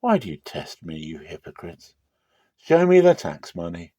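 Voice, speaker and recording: A man's voice: low-pitched, wildly swooping pitch, normal speed, warm tone, dry recording, clean studio sound, full character voice. A man voicing a firm, authoritative teacher in a dramatic scripture reading, emphatic and declarative. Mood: firm rebuke.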